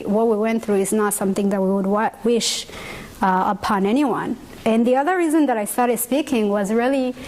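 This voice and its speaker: A young woman from Rwanda, a native Kinyarwanda speaker, gives a measured, earnest testimony in English and pauses often with "uh" and "um.". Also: medium pitch, a little hiss or hum, low-quality recording. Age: young adult. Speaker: genocide survivor speaker. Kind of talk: personal testimony talk.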